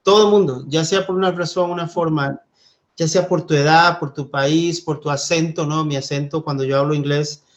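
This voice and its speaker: A man from Costa Rica leading a church Bible study, speaking gently and thoughtfully, with hesitant fillers. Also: a little high, fairly even intonation, moderate pace. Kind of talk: Bible study teaching and reading scripture.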